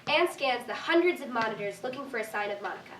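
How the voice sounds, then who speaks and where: A woman's voice: fairly high pitch, expressive, quick pace, fairly bright tone. A woman reading scripted stage directions as narrator at a staged reading. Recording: distant echoey room, faint noise.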